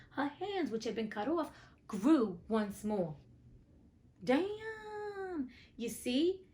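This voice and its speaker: A woman's voice: fairly high pitch, a little mellow. A woman hosting a storybook reading, moving between narration and casual, outspoken, colloquial asides. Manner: sassy, outspoken, colloquial commentary. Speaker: host reading classic tales aloud.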